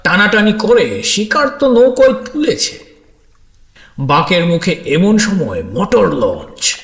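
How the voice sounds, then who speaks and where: A man's voice: sits high, slow. A man narrates a story dramatically in the first person, speaking expressively and straight to his listeners. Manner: expressive, addresses listeners directly. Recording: roomy echo with music underneath.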